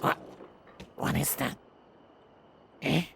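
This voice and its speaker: A man voicing a savage, gloating feral vampire in a fantasy audio roleplay, with a gravelly snarl and halting, repeated short phrases. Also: heavy background noise. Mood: menacing surprise.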